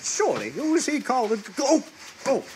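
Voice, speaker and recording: Man playing a bewildered mayor, animated pitch. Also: moderate pace, heavily acted. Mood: flustered. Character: bewildered, put-upon small-town mayor, henpecked husband. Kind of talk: comic live radio theatre. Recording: noisy live stage recording.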